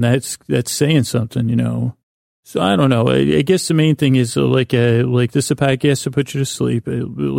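A man hosting a bedtime podcast in a creaky, gravelly voice, rambling in a lulling, halting way with frequent stammers and self-interruptions. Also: medium pitch, mellow, no echo, studio quality. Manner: lulling, soothing. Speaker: podcast host. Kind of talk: rambling bedtime monologue to put listeners to sleep.

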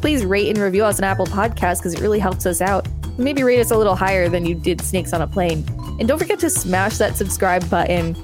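A woman podcast co-host with a medium-pitched voice. She moves between reading an article aloud and casual banter. Music plays faintly behind her. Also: a little fast.

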